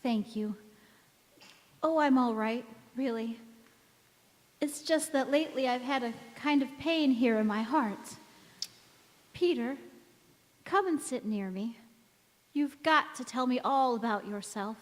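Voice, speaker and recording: A woman acting a mysterious, wistful young stranger in a stage radio drama, speaking in a gentle, cryptic way. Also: a little high, slow pace, pauses often. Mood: wistful, polite. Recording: echoey hall with long reverb.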